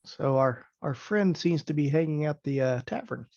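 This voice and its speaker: A man's voice: on the high side, animated, normal speed, vocal fry, darkish tone, no room sound. A man playing a tabletop role-playing game, speaking casually in character on a slightly dull recording.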